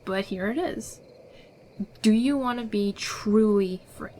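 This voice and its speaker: A secretive rebel guide acted by a woman in a fantasy audio roleplay. She sounds sure of herself and persuasive, with some theatrical flair at a medium pitch. Faint noise and night crickets are behind her. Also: takes it slowly, dark tone, natural voice. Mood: sly, assured. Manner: assured, persuasive, theatrical delivery. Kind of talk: ASMR audio roleplay acting.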